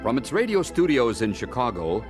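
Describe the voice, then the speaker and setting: Animated male radio announcer over organ music. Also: mid-range voice, moderate pace. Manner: stately, dramatic delivery. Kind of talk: formal scripted programme introduction.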